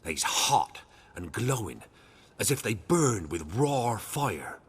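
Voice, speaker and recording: An actor playing a humble, fearful peasant in a video game, earnest and deferential, telling things in rustic dialect. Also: male voice, fairly low pitch, big pitch swings, slow pace, slightly rough, exaggerated voice. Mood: haunted, awed. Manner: rustic dialect grammar, deferential, dramatic storytelling.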